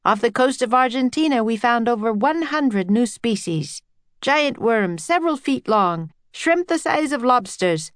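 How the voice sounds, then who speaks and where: An eager gentleman scientist, acted by a woman narrator in a children's storybook. He explains things earnestly and kindly, with a lifted pitch and a dry, close sound. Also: lively intonation, a little slow, no echo. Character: eager, friendly Victorian scientist man, proud explorer. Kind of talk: children's audiobook character voice.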